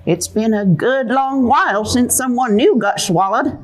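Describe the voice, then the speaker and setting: Woman doing a little old lady for a kids puppet show, high voice, swoopy, folksy n wry, kinda resigned. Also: expressive, unhurried, faint music behind, rough recording. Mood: rueful. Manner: folksy, drawling, teasing. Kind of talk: children's puppet show acting.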